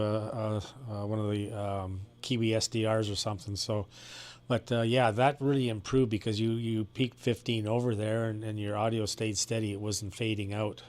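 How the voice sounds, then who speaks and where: Easygoing man with a lowish voice. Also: a little mellow. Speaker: ham radio operator. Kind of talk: casual radio chat.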